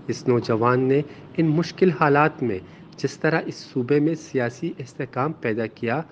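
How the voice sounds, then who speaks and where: A man reads a scripted tribute in a formal, literary and reverent manner. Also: mid-range voice. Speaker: political party youth leader. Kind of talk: scripted narration of a written tribute column. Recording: dull lo-fi audio with clear noise.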